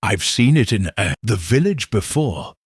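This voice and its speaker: A man voicing a shrewd elderly village spinster, speaking in a gentle, probing, knowing way. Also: lowish voice, sing-song, a little slow, breathy edge, no room sound, studio quality, heavily acted. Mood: shrewd musing.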